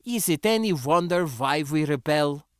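A man voicing a scornful, quick-tempered young woman rebel in an audiobook, with emphatic, dismissive delivery. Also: high voice, big pitch swings, no room sound, studio quality, pitched above the performer's own voice. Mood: bitter, angry.

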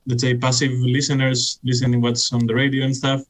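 A man's voice: mid-range voice, monotone, brisk. A young Greek man with Greek as his first language, chatting casually as a guest, his talk full of "like" and "you know". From Greece. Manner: rambling, heavy use of "like" and "you know". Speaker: guest musician.

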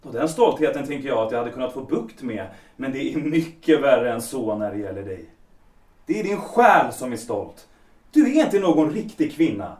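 A man's voice: medium pitch, sing-song, lightly acted. Guy doing bitter jilted lover in an audio drama, mid voice, echoey room, kinda far from the mic. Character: bitter rejected male lover, Erland.

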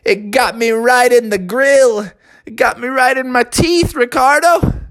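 A man voicing an excitable young male bear ballplayer, talking in a high, whiny, excited way. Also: a little slow, full character voice.

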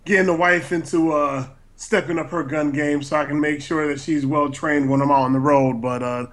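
A man's voice: a little high, steady tone, normal speed, a bit bright. A man from Connecticut, a guest in casual chat, easygoing and hesitant with fillers. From Connecticut, USA.

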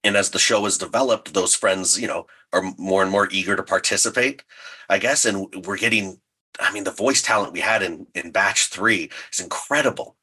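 A man's voice: low-pitched, normal speed, sharp tone, breathy edge. A man speaking as an interview guest, chatting enthusiastically as a writer and creator about his work.

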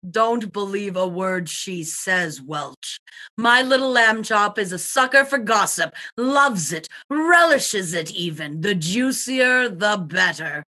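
A woman's voice: medium pitch, animated, takes it slowly, sharp tone. A woman playing a cocky, cynical society husband in a comic murder mystery reading, brash and dismissive. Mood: wry.

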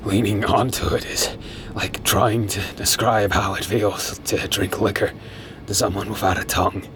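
A man narrating a fan audio drama in first person, measured and brooding. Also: on the low side, steady tone, a bit bright, slight background noise.

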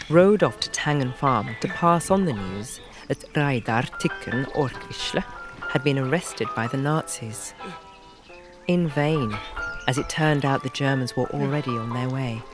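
A male announcer with a medium-pitched voice delivers scripted documentary narration for an audio drama. Music plays clearly behind him throughout.

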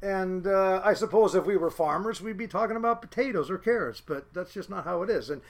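A man's voice: sits high, expressive, fairly quick. A middle-aged man from the Seattle area whose first language was Norwegian, reminiscing casually with many fillers and restarts. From Seattle area, USA. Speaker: fisherman's son recalling childhood.

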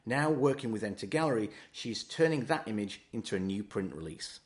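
A man with a husky voice presenting and interviewing as a podcast host. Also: lowish voice, expressive, fairly quick. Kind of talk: scripted narration and podcast interview.

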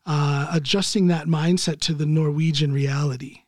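A man's voice: fairly high pitch, fairly even intonation, moderate pace, no room sound, clean studio sound. A man from the United States hosting a casual interview, talking in a conversational way and agreeing readily. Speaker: podcast host.

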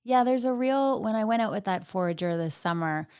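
A woman's voice: medium pitch, expressive, a little fast, clean tone, dry recording, clean studio sound. A woman hosting an interview, talking enthusiastically and telling stories with fillers. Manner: enthusiastic, warm storytelling with fillers. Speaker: host. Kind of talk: casual interview chat.

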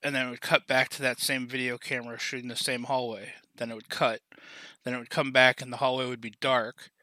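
Male podcast host, very bright and sharp, dry recording, casual and halting. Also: mid-range voice, level tone, no echo.

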